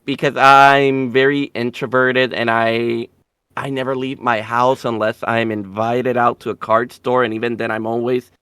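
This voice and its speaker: A man's voice: mid-range voice, flat delivery, moderate pace, a bit bright, smooth, no room sound. A young man from Puerto Rico talking casually as an interview guest, rambling and self-deprecating, with the odd swear word. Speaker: streamer guest.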